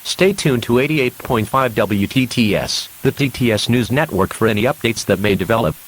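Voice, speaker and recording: A man playing an earnest radio news announcer, reading a scripted emergency alert in a formal, measured manner. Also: mid-range voice, a little hiss or hum, close to an everyday voice, poor audio. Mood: grave, formal.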